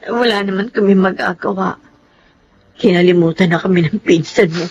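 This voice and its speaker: A woman's voice: medium pitch, normal speed, darkish tone, dull audio, a little hiss or hum, natural voice. A woman playing a sickly, forlorn elderly mother in a Filipino radio drama. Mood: weary, forlorn.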